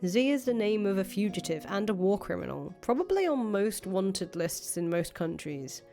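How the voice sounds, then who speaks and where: A woman performs a disillusioned young ex-leader from a visual novel. She is blunt, sardonic and sweary, speaking in a medium-pitched voice over prominent music. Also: very animated, normal speed, mellow. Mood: earnest, resolute. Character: jaded, foul-mouthed young woman, fugitive ex-cult leader. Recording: music playing under the voice.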